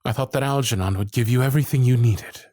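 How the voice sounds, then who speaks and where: A man playing an earnest, lovestruck young gentleman with a tender, halting, pleading delivery in a romantic audio roleplay. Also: mid-range voice, dry recording, clean studio sound, close to an everyday voice. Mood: pleading, confessional. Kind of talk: romantic ASMR roleplay acting.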